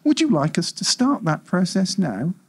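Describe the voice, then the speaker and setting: A man voicing a polite, implacable customer-service AI in a live story reading, with smooth scripted corporate patter. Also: high voice, sing-song, normal speed, a little mellow, exaggerated voice. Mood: bland, implacable.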